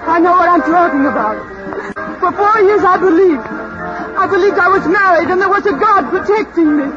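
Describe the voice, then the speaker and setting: A woman's voice: very high-pitched, animated, fairly quick, over a noisy crowd. An actress playing an anguished young woman, disillusioned and yearning, in breathless, emotive 1940s radio drama style. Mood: bitter anguish. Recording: dull vintage radio recording with music.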